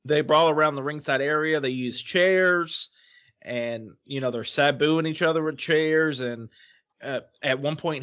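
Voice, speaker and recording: Higher-pitched man chatting on a muffled podcast. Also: a bit bright. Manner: loose, rambling, many fillers. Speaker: podcast co-host. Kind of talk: casual podcast chat recapping a wrestling show.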